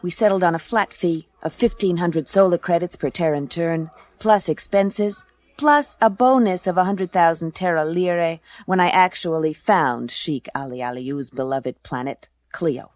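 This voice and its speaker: An actress playing a tough, wisecracking female private eye in a science fiction noir radio play, with a terse, deadpan delivery. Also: lowish voice, unhurried, no echo, close to an everyday voice. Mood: wry, narrating. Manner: terse, deadpan, hard-boiled. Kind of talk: noir detective radio play, dialogue and narration. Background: clear music bed.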